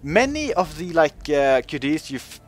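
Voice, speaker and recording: A man's voice: on the high side, very animated, moderate pace, soft background music. A man giving casual commentary over game footage, talking in a chatty way with lots of fillers and voice jumps when joking. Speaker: gaming video host.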